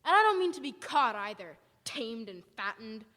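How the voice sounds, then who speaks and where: A high, forceful woman's voice rings through a long-echoing hall. She is a wary, defiant militia survivor as a stage actress plays her, blunt and folksy, hammering her points home in old radio drama fashion. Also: sing-song, normal speed. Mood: fiercely defiant. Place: church hall stage, live performance. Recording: echoey hall, live performance.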